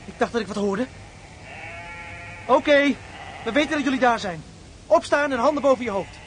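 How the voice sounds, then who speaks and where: Gruff soldier, barking orders, very high voice, faint outdoor noise. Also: male voice, normal speed, fairly bright tone, low-quality recording. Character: gruff male soldier on guard duty. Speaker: camp guard. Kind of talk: radio drama acting.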